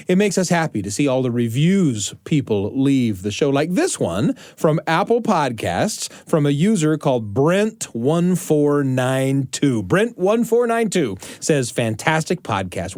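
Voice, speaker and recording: Animated man hosting a podcast, very lively pitch. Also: no echo, studio quality. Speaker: podcast host. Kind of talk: warm-up chat and scripted podcast hosting for families.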